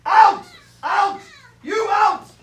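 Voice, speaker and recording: A woman's voice: extremely high pitch, wildly swooping pitch, drawn-out words, fairly bright tone, raised voice, pitched above the performer's own voice, exaggerated voice. A woman voicing a big, grumpy, hot-tempered bear in a storybook read aloud to a live audience. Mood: furious. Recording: echoey room, distant mic, audience chatter.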